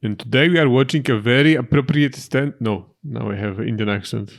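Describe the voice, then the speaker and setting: A young man with a Polish accent, a native Polish speaker co-hosting a reaction video, talking in a halting way and often repeating words as he jokes dryly. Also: medium pitch, vocal fry. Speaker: reaction channel co-host. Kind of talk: casual chat, joke telling.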